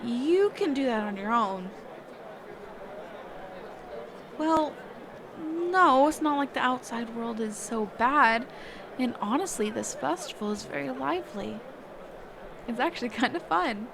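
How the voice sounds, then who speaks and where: A woman's voice: high-pitched, animated, measured pace, vocal fry, a crowd in the background, background noise, full character voice. A woman playing a kind, reclusive magic teacher in an intimate roleplay audio, speaking hesitantly, flustered and trailing off. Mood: shy, fond. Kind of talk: intimate character roleplay audio, one-sided conversation.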